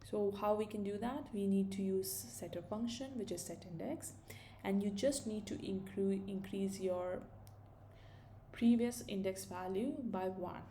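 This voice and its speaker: A woman's voice: medium pitch, unhurried, dark tone, slight background noise. A young woman from India with an Indian accent, casually thinking aloud as she walks through a coding tutorial, with fillers and self-corrections. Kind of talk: screen-recorded coding tutorial.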